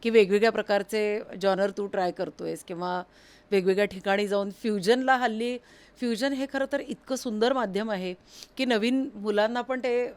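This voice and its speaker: A woman speaks as an interviewer in a middling pitch. Her style is conversational, and she affirms and encourages the person she is talking with. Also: normal speed, darkish tone, smooth.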